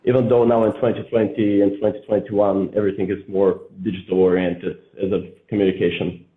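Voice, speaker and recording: A man's voice: fairly low pitch, flat delivery, fairly quick. A Bulgarian-born man, a photographer, giving long rambling interview answers full of fillers. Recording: echoey, tinny phone-like sound.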